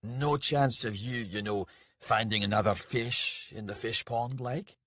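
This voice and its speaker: A man's voice: mid-range voice, unhurried, bright, forceful tone, dry recording, studio quality, pitched above the performer's own voice. A man voicing a big, fierce male brawler in a story, blunt, sweary and goading. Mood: probing, teasing.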